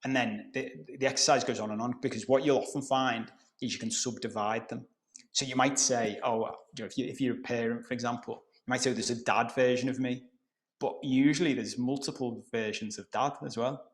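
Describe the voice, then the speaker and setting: A middle-aged man from Liverpool with a softened Scouse accent, explaining ideas as a coach on a podcast. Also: medium pitch, fairly even intonation, a little fast. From Liverpool, England. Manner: explanatory, conversational, occasional swearing. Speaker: guest coach. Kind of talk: podcast conversation.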